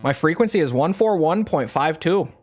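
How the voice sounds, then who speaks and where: A man voicing a bland male CIA agent in a put-on Canadian accent, reading a mission briefing flatly. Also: on the high side, normal speed, faint music behind.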